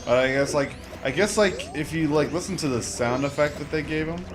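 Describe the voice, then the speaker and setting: A man's voice: a little high, a little fast. Rambling young man over game music. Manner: rambling, informal, mock-exasperated asides. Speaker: gamer, Let's Play host. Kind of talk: casual gameplay commentary. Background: video game music.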